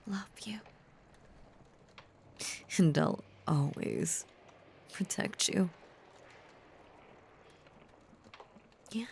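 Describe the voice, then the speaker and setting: Slow, whispering possessive werewolf woman, acted by a woman. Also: medium pitch, a little mellow, slight background noise. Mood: devoted. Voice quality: breathy, whispery. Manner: slow, lingering, intimate delivery with long pauses. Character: possessive, obsessively devoted female werewolf lover. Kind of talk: intimate roleplay audio monologue.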